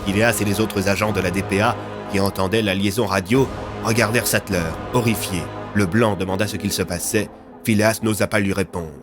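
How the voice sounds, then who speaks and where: A man narrates a scripted audio drama as its storyteller. His voice sits on the low side, delivered dramatically over clearly audible music. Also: steady tone, brisk.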